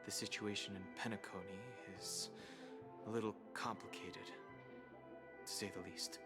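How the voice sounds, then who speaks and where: An actor playing a calm, analytical male outsider in a video game, speaking in a measured, precise, formal way. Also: on the low side, close to an everyday voice. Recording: loud music behind.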